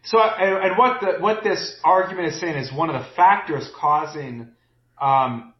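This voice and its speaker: A man's voice: a little high, unhurried. Man in a roomy lo-fi recording. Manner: thinking aloud, many restarts. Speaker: podcast co-host. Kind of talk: casual discussion. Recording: roomy, dull lo-fi sound.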